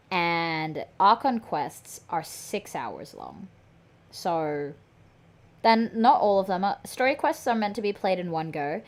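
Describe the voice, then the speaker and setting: Young female streamer, rambly, jokey gameplay chat, slightly low voice. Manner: chatty, joking, rambling. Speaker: gaming streamer. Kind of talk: casual gameplay commentary.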